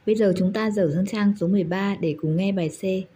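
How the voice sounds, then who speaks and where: A woman teacher with a Vietnamese accent giving quick, brief instructions between audio tracks. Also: medium pitch, warm tone, slight background noise. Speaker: English teacher.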